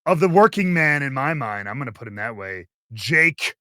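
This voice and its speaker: A man hosting a wrestling podcast, previewing events in a theatrical announcer style with drawn-out emphasis. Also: medium pitch, lively intonation, sharp tone, no echo, studio quality. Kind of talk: animated show introduction and wrestling preview.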